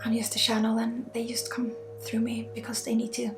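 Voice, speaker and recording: A woman with a Swedish accent leading an ASMR energy healing session, soft-spoken with pauses and often dropping into whispers. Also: fairly high pitch, monotone. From Sweden. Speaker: ASMR energy healer and spiritual guide. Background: music.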